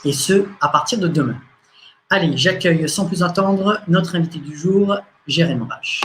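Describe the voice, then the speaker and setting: A man hosting an interview show, introducing the guest and laying out the talk in a measured, polite way with hesitant fillers. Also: a little high, slight background noise. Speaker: interview host.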